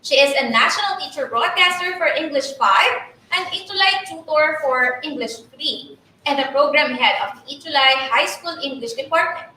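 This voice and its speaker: A woman with a Filipino accent co-hosting a teacher training webinar, switching between English and Tagalog in a lively manner. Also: high-pitched, normal speed, very echoey, far from the mic, slight background noise, poor audio. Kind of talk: bilingual webinar hosting, reading introductions.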